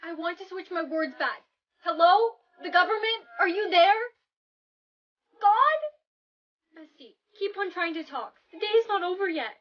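A woman's voice: extremely high pitch, animated, unhurried, dull audio. A woman playing an anxious, easily panicked teenage schoolgirl in a melodramatic comedic skit.

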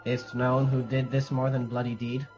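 A man acting a worried nobleman in a radio play, with a mid-pitched voice. The sound is slightly muffled, with faint music behind him. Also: flat delivery, normal speed, darkish tone, natural voice. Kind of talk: amateur radio drama acting.

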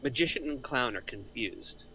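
A man's voice: mid-range voice, lively intonation, normal speed, pushed and bright, slight background noise, poor audio. A middle-aged man reading out screenplay action lines as a narrator. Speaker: narrator of a script table read.

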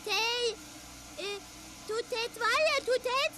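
A woman voicing a small, innocently curious, babyish cartoon character who talks in a halting babble. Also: extremely high pitch, fairly even intonation, bright, forceful tone, full character voice. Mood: puzzled. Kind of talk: cartoon voice acting. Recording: clear background noise.

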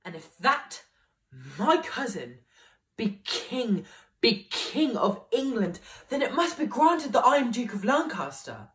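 A man's voice: very high voice, big pitch swings, slow pace, sharp tone, exaggerated voice. A young man playing an aggrieved, banished nobleman, declaiming classical verse as he pleads for his inheritance. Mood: indignant. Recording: voice picked up from a distance.

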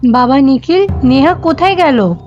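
A woman acts out a nosy middle-aged mother-in-law in a cartoon, always questioning and finding fault. The voice is high, with lo-fi sound and music behind. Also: lively intonation, moderate pace, a little mellow. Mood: inquiring.

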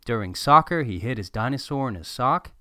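A man narrating a children's picture book aloud as a read-aloud host, in an animated, expressive storytelling manner. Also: mid-range voice, fairly quick, no room sound, clean studio sound.

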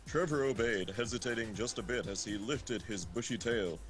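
A man's voice: on the low side, normal speed, soft background music. A man reading a story aloud in an amateur, informal way on a group livestream. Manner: breaks into laughter and asides. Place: voice chat on a game livestream.

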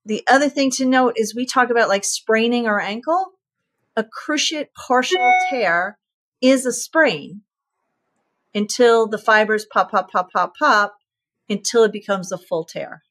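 A woman in her fifties, a veterinarian guest, explaining clearly in an interview and using vivid comparisons and repetition for emphasis. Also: on the high side, measured pace, fairly bright tone.

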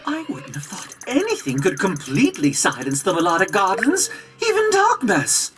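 A woman voicing a small floating robot guide in a video game, excitable, earnest and theatrical. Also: medium pitch, normal speed. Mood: indignant. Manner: theatrical, exclamatory. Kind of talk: video game cutscene acting. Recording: background game music.

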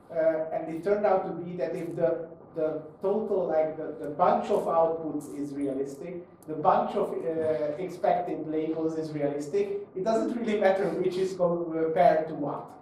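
A man's voice: on the high side, dark tone. A man co-presenting a technical talk, explaining off the cuff and restating points to clarify. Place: indoor meetup room. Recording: very echoey room, distant mic, faint noise.

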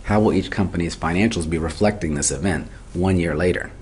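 Very deep-voiced man teaching, noisy recording. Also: fairly quick, a little mellow. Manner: methodical, step by step, poses questions then answers them. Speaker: accounting instructor. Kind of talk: explanatory lecture for a video tutorial.

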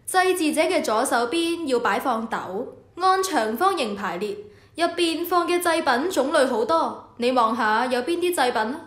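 A woman's voice: high voice, expressive, normal speed, a bit bright, reverberant. A woman with a Hong Kong Cantonese accent playing an instructive senior temple official in an educational radio drama. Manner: authoritative, instructive. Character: official in charge of the Temple of Heaven, instructive superior. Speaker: voice actor.

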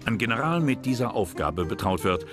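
A man reading scripted historical documentary narration in a measured, dramatic storytelling manner. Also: lowish voice, very animated. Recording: prominent music behind narration.

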